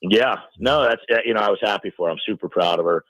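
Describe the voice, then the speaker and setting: A man's voice: fairly low pitch, fast talker, a bit bright, dry recording, muffled recording. A middle-aged American man chatting casually as a radio interview guest, with a joking, self-deprecating manner.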